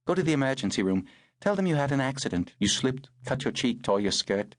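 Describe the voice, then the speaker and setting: A male narrator voicing a brisk, wry aristocratic older woman who talks in a clipped, commanding way. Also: mid-range voice, very animated, no echo, studio quality, voice pushed up, lightly acted.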